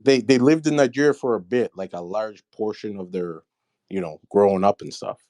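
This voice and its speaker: Male caller, low pitch, dry sound, rambling and hesitant. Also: moderate pace, mellow, dry recording. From Michigan, USA. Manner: hesitant, rambling, many fillers. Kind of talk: casual call-in chat.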